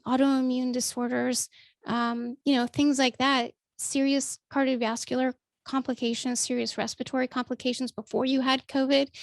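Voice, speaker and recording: A woman vlogger with a naturally high voice that is still a little strained after illness, chatting casually to her viewers with lots of ums and calling them folks. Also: gravelly, no room sound, studio quality. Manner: chatty, many ums, calls listeners folks. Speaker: vlogger and writer. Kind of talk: casual talk to camera.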